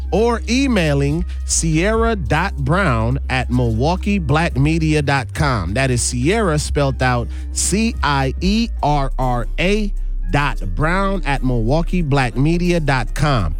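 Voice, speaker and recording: Animated hype radio man over music. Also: a little high. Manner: hype, slangy, repeats catchphrases. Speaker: radio host. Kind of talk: lively lunchtime radio banter and announcements. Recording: music bed under the voice.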